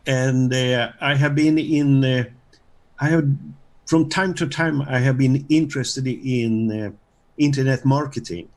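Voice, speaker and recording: A man in his seventies from Sweden, retired, speaking haltingly with long pauses while searching for words. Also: mid-range voice, steady tone. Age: 70s. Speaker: course student.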